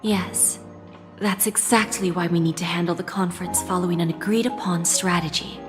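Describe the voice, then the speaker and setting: An actress playing a shrewd, composed senior corporate envoy in a video game, speaking in a measured, formal, self-assured way. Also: female voice, fairly low pitch, fairly even intonation, close to an everyday voice. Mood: cool, calculating. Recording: reverberant hall, music behind.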